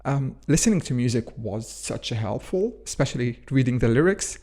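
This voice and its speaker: A man's voice: mid-range voice, lively intonation, moderate pace, dark tone. Rambling man. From Jordan. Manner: rambling, leans on "actually" and "sort of". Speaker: interview guest, food scientist and company founder. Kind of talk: conversational interview answers.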